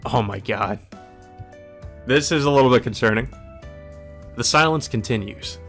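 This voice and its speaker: A man's voice: mid-range voice, moderate pace, fairly bright tone. A man reading a visual novel aloud as a let's player, mixing plain narration with casual, wry asides. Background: music.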